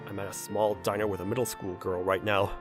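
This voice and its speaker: A man playing a level-headed, gently teasing young man in a manga dub, narrating and talking in a dry, blunt manner. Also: on the low side, flat delivery, fairly quick, over music, lightly acted. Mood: nervous, wry.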